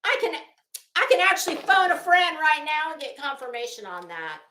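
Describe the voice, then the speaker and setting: A woman's voice: high-pitched, very animated, moderate pace, sharp tone, slight background noise. A woman giving casual, opinionated, rambling commentary as an online host, gossipy and emphatic, stretching out the words she stresses. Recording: roomy, echoing room sound.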